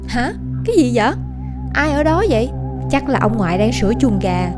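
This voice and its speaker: A sulky cartoon schoolboy, played by a woman, grumbles and exclaims in a high, whiny voice. He sounds skeptical and has a southern Vietnamese accent, with music clearly audible behind him. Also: animated, moderate pace, natural voice. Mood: startled, demanding. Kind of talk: animated cartoon dialogue.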